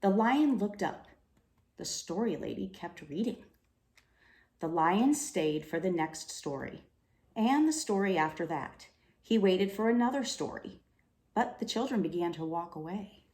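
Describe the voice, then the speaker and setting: An echoey room surrounds a woman reading a children's storybook aloud. Her delivery is slow and deliberate, with very lively, dramatic swings in pitch. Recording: roomy, echoing room sound.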